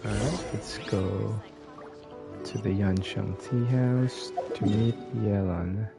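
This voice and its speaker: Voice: sits low, steady tone, slow pace, vocal fry. A game streamer giving casual live commentary, muttering to themselves while playing. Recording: loud game music behind the voice.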